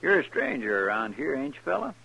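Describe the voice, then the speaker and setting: An actor playing an amiable, deferential marshal's helper in a 1950s radio western, with a homespun rural American accent and drawl. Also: male voice, mid-range voice, sing-song, fairly quick, creaky, sharp tone, slightly rough, exaggerated voice. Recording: noisy, crackly old radio recording.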